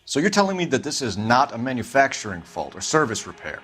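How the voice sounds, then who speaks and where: A man's voice: medium pitch, big pitch swings, fast talker, fairly bright tone, a little hiss or hum. An American man playing an intense, accusing arson detective in a video game, with clipped, interrogating speech. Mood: probing, businesslike. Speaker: police detective. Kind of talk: video game dramatic acting.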